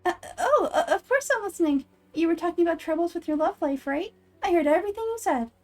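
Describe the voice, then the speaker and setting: A woman's voice: very high voice, animated, fast talker, faint music behind. A woman voicing a nervous game character who bluffs as a fortune teller, hesitant and overeager. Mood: flustered. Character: flustered fake fortune teller improvising divine prophecies.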